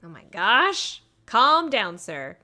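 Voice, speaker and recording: A woman's voice: a little high, very animated, slow, bright, forceful tone. A woman streaming a video game, reacting live in a playful, exclamatory way. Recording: game music behind voice. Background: game music.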